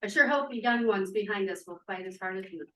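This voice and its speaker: A woman's voice: mid-range voice, fast, a bit bright, a little hiss or hum, close to an everyday voice. A woman acting an idealistic, somewhat disappointed activist in a script read-through. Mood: hopeful, wistful. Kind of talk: table read of a play script. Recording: echoey room, distant microphone.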